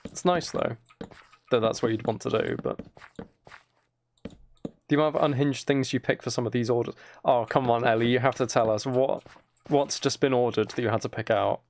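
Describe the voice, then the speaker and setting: A man's voice: mid-range voice, fairly even intonation, moderate pace, long pauses, darkish tone, slight background noise. A man chatting casually and teasingly to his viewers while playing a game on a livestream.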